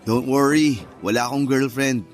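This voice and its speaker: Radio romance role: actor as hopeful young male suitor, medium pitch, casual and tentative, faint music bed. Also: steady tone, moderate pace, natural voice. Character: earnest, hopeful young single man courting old sweetheart. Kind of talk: radio romance drama dialogue.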